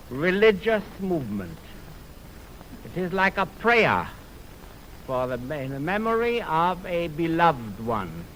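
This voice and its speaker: A man announcing classical music on 1920s radio, speaking in a solemn, formal, measured way on an old aircheck recording. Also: fairly high pitch, fairly bright tone. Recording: noisy 1929 film-recorded radio aircheck.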